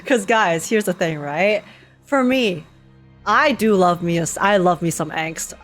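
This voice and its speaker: A woman's voice: lowish voice, unhurried, a bit bright. A woman streamer giving casual, giggly, informal live reactions to a game's story. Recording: game music behind the voice.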